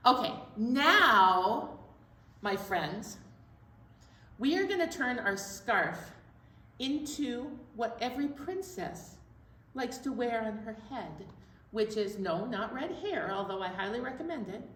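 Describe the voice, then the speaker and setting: A woman's voice: on the high side, expressive, slow pace, pushed and bright. A woman hosting a children's storytime, talking playfully and directly to young children. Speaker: children's library storytime host. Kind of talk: chatty preschool storytime talk. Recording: echoey room, distant mic, faint noise.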